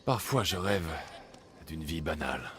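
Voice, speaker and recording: Rugged loyal mercenary in a video game, very deep calm voice, kinda wistful. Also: male voice, unhurried, background noise. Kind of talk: dramatic video game acting, French dub.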